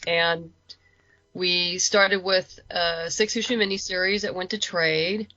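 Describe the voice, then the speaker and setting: A woman's voice: lowish voice, fairly even intonation, slow, bright, forceful tone, no room sound. A woman chatting casually as an interview guest, talkative and a little self-deprecating. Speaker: podcast guest, writer and producer. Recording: dull lo-fi voice track.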